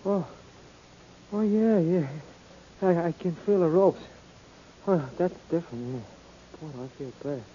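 High-voiced, dim loyal radio sidekick. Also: male voice, animated, measured pace, long pauses, dark tone, husky. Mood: dazed, worried. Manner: slangy, stammering, ungrammatical. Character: dim, loyal male sidekick, excitable small-time crook. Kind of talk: 1940s radio comic sidekick acting. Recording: hissy, dull old-time radio recording.

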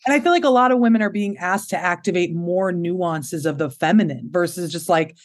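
Low-voiced woman in her thirties, candid. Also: a little fast. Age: 30s. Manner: expressive, open, laughs often. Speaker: podcast guest. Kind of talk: candid conversational interview.

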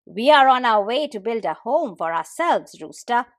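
A woman's voice: fairly high pitch, lively intonation, normal speed, dry recording, studio quality, lightly acted. A woman voicing a companionable, practical pig in a folktale, blunt and emphatic. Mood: friendly.

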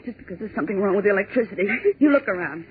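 Mid-pitched actress doing a scared young woman clinging to reason, tense and insistent, tinny old radio with a little hiss. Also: lively intonation, very fast, natural voice. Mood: shaken, defiant. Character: frightened young woman forcing herself calm. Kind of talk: 1940s radio acting. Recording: tinny scratchy 1940s radio broadcast.

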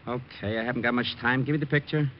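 A man's voice: mid-range voice, steady tone, fast talker, a little hiss or hum, close to an everyday voice. Menacing gunman on muffled old radio, a man acting. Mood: impatient, threatening. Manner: commanding, clipped, threatening. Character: male gunman, terse, menacing stick-up man. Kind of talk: 1950s radio crime drama acting.

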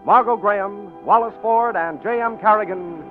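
A man's voice: sits high, normal speed, faint music behind. A man announcing a 1940s radio show in a polished, emphatic way. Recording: tinny 1940s radio broadcast.

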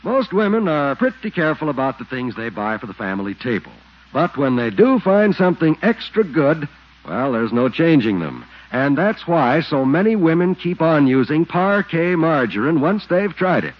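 A man's voice: fairly high pitch, big pitch swings, moderate pace. A man announcing on 1940s radio in a polished, persuasive scripted sales style. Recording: muffled 1940s radio recording, music underneath.

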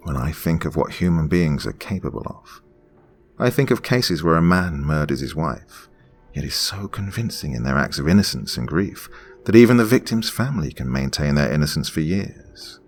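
Man, very low gravelly voice, lively pitch, measured reflective narration, faint hiss. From Pennsylvania. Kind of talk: scripted first-person narration.

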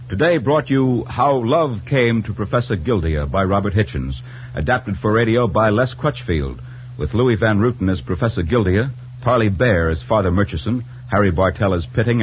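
A man's voice: on the low side, animated, normal speed, dull audio. A man working as a radio announcer, formal and polished in a scripted 1940s style, on an old broadcast recording. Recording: band-limited, noisy 1940s radio recording.

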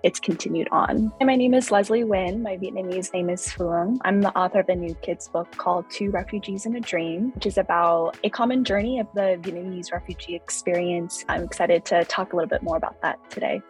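A woman's voice: on the low side, brisk, hardly any pauses, faint music behind. An American woman in her thirties from Southern California, speaking reflectively as an interview guest, with many fillers and restarts. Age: 30s. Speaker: guest author. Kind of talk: conversational interview.

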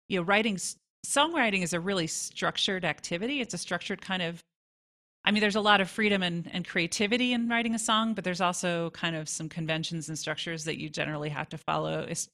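A woman's voice: fairly low pitch, a little fast, bright, forceful tone. A woman chatting informally as a songwriter guest in an interview, with self-deprecating asides. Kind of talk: casual interview answers.

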